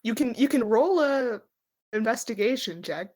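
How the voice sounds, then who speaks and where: A woman's voice: a little high, animated, normal speed, a little mellow. A woman casually narrating a tabletop role-playing game as game master.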